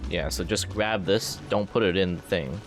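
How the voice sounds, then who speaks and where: A man's voice: low-pitched, normal speed. A man doing casual live gameplay commentary as a streamer, informally thinking aloud. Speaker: game streamer. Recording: game music behind voice.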